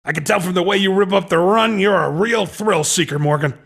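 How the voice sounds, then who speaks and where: A man voicing a slick, pushy underground race recruiter in a video game, with a raspy voice and cocky, insinuating salesman patter. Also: sits high, brisk, fairly bright tone, heavily acted. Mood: slick, enticing. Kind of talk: video game character dialogue.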